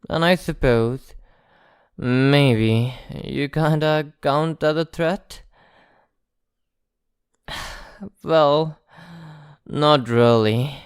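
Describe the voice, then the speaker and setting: A man playing an ancient, possessive vampire in an ASMR roleplay, speaking in a theatrical, condescending, teasing and softly threatening way. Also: medium pitch, clean tone, no room sound, clean studio sound, lightly acted. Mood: wistful, teasing.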